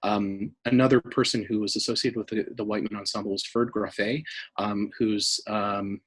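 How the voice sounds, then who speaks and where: A man with a fairly low, noticeably husky voice talks informally as an archive staff member. He explains things in a thoughtful, halting way, pausing often as he finds his words. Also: level tone, rough recording. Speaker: music archive staff member giving an interview. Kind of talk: informal explanatory talk about archive items.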